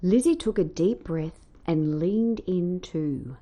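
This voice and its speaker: A woman reading a children's story aloud with theatrical, emphatic, drawn-out phrasing. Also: lowish voice, lively intonation, mellow.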